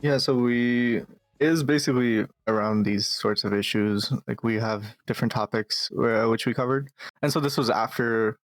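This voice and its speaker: A man's voice: mid-range voice, normal speed, no echo, soft background music. A man in his twenties, born in Pakistan, talking earnestly as a guest in a casual interview and often repeating words to stress a point. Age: 20s. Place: Calgary, Canada.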